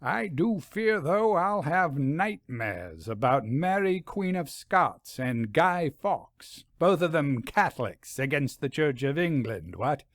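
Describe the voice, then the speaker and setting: A man voicing a courtly, pompous gentleman scholar and world traveler in an audiobook, with formal, mannered speech. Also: fairly high pitch, sing-song, slow, hardly any pauses, no room sound, clean studio sound, exaggerated voice. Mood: polite, fussy. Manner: formal, mannered, ends on "what?".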